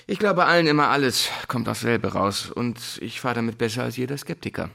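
An actor playing a laconic, unruffled teacher in a drama, with a dry, ironic manner. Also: male voice, medium pitch, animated, a little fast, vocal fry, bright, forceful tone. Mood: drily amused.

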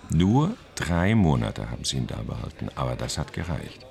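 A man's voice: extremely low pitch, very animated, moderate pace, vocal fry, a little mellow, heavily acted. A man acting an agitated, theatrical stage colleague who mimics others as he gossips fearfully in a German radio drama. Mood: grim, anxious. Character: agitated male actor gossiping fearfully about Nazi film studio.

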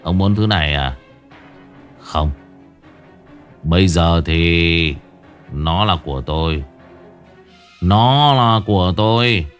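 A man's voice: fairly low pitch, animated, takes it slowly, pauses often, clear, resonant tone, soft background music. A man voicing a treacherous young male servant who is sly and sneering and stammers when caught. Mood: sneering, gloating.

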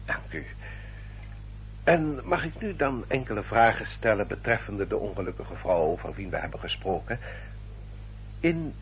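A man's voice: lowish voice, sing-song. A male actor in a Dutch radio play, playing a courteous, controlling English baronet who speaks formally and insistently. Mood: polite, probing. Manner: formal, suave, measured and insistent. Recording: dull, noisy old radio drama recording.

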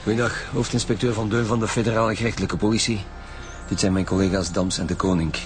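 A man with a Flemish accent playing a gruff, impatient chief police detective in a radio drama. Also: fairly low pitch, flat delivery, fast talker, noisy background, close to an everyday voice. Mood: businesslike. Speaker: actor in a radio crime serial.

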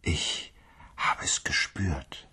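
An actor playing a lonely, timid man who speaks hesitantly and quietly. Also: extremely slow, heavily acted. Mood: wistful. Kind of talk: radio drama acting.

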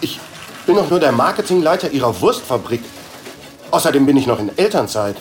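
A man's voice: medium pitch, very animated, fairly quick, natural voice. An actor playing a meek, hesitant young father and marketing employee in a radio play, polite and questioning. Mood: puzzled, hesitant.

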